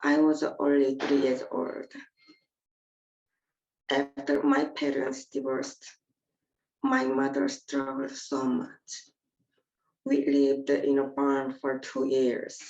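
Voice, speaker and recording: Woman in her twenties, heavy vocal fry, slow deliberate speech. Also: sits high, darkish tone. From North Korea. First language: Korean. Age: 20s. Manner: measured and earnest, read from a prepared text. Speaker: invited speaker. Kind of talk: prepared personal testimony speech. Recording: echoey dull lo-fi audio.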